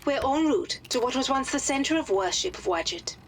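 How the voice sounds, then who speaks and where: An actress playing a composed upper-class British lady who gives formal, measured briefings. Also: high-pitched, animated. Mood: explaining calmly. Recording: clear ambient noise behind.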